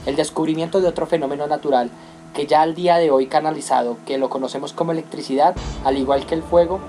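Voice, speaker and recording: A man's voice: a little high, fairly even intonation, quick pace. A young man hosting and narrating a scripted educational radio program. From Colombia. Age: young adult. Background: loud music.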